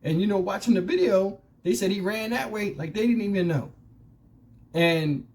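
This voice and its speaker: Mellow-voiced man giving casual commentary. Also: sits high, moderate pace. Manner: colloquial, conversational, punctuated with rhetorical questions. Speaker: YouTube commentary host.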